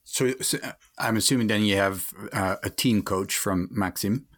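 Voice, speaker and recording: Man, podcast co-host, lowish voice, curious, rambling questions. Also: moderate pace, fairly bright tone. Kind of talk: casual interview.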